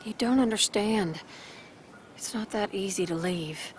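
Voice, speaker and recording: A woman's voice: mid-range voice, a little slow, a little hiss or hum, close to an everyday voice. An actress playing a stressed, defensive mother in a dramatic video game, guarded and curt in her delivery. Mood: weary, frustrated.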